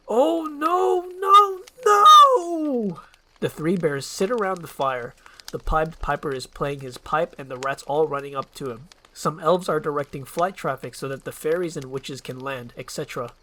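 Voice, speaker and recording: A woman reading scripted screenplay narration and stage directions as a narrator. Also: sits low.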